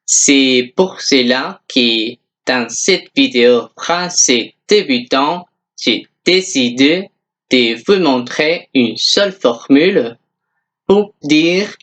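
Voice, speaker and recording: A language teacher giving a scripted beginner lesson in a deliberate, instructional manner, repeating example phrases. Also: medium pitch, lively intonation, fairly bright tone.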